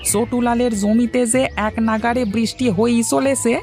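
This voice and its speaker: An actor plays a village know-it-all man in a cartoon, creaky and pedantic. He lectures and dismisses others. Faint music sits behind the voice. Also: medium pitch, level tone, moderate pace, a little mellow, natural voice. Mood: scornful. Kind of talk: cartoon dialogue.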